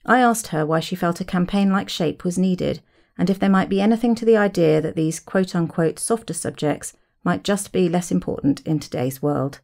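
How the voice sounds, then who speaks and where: Low, creaky-voiced woman podcast host. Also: normal speed, a little mellow. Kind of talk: scripted podcast narration and interview questions.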